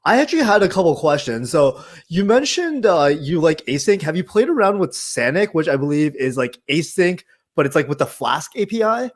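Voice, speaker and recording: Meetup host, male; a bit high-pitched, casual questioner. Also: big pitch swings, brisk, hardly any pauses. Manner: casual, heavy on "like" fillers. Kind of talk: hosting a Q&A.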